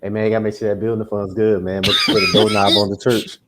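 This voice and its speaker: A man's voice: fairly low pitch, level tone, quick pace, heavy background noise. An American man around forty, chatting casually as a co-host with laid-back, joking interjections. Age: 40s. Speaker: podcast co-host. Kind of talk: casual chat.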